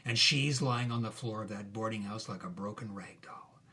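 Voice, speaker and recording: A man playing an intense, goading old-Hollywood film director, hushed and urgent, with tough noir-style delivery. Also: fairly low pitch, moderate pace. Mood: goading, menacing whisper. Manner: hushed, urgent, conspiratorial whispering.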